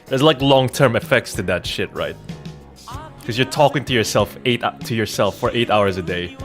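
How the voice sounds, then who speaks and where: A man's voice: mid-range voice, normal speed. A man livestreaming a game, chatting in a rambling, informal, joking way with casual swearing. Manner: joking, irreverent. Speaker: game streamer. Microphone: Rode NT1-A studio condenser microphone. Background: background music.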